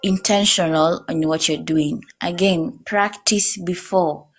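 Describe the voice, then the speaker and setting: A woman's voice: lowish voice, fairly even intonation, takes it slowly, slightly rough. A young Kenyan woman, a guest, giving earnest advice and repeating her key points. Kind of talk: interview testimonial.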